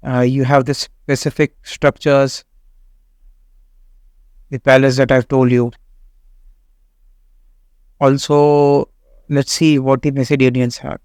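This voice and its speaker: A man, not a native English speaker, hosting a game walkthrough in a halting way with many fillers and restarts. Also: medium pitch, level tone, darkish tone, clean tone, no room sound. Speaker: gaming channel host. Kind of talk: casual game walkthrough commentary.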